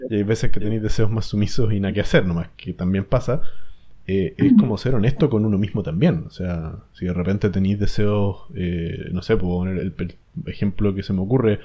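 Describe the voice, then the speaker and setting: A man's voice: lowish voice, mellow, lo-fi sound. A young Chilean man hosting a podcast, talking in a thoughtful, rambling way with many fillers. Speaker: podcast host. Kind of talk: casual chat.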